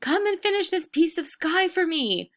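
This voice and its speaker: Woman acting a high, dramatic, greedy wife. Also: big pitch swings, moderate pace, a bit bright, full character voice. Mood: panicked wailing. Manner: wailing, screaming, theatrical. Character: greedy, never-satisfied wife and mother, dramatic. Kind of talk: storybook read-aloud character voice.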